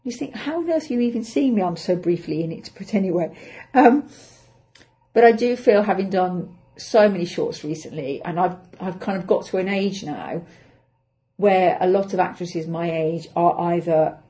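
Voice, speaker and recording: A middle-aged woman with an English accent, chatting through her week on her own podcast. Her voice sits low and mellow, and she talks in a chatty, self-deprecating way, thinking aloud. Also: normal speed. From England. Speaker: actress and podcast host. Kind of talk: casual solo podcast update.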